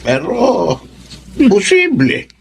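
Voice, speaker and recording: A kindly middle-aged father in a radio drama, with a gravelly creak. His delivery is very animated, concerned and tender, with faint noise behind it. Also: high voice, drawn-out words, full character voice. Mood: hesitant, gentle. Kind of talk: Tagalog radio drama acting.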